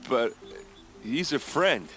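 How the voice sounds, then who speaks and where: An actor playing a gruff, hot-headed but loyal guard captain in a video game, speaking bluntly and with emotion. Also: male voice, mid-range voice, lively intonation, takes it slowly, fairly bright tone. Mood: reluctant, defensive. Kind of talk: video game cutscene acting. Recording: music behind the voice.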